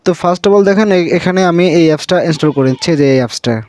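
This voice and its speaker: A man's voice: fairly high pitch, fast, darkish tone, dry recording, studio quality. A Bangladeshi man hosting an informal, unscripted app tutorial, repeating himself and often checking in with viewers in a familiar, brotherly way. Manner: repetitive, addresses viewers as brother, frequent check-in tags. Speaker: tutorial host.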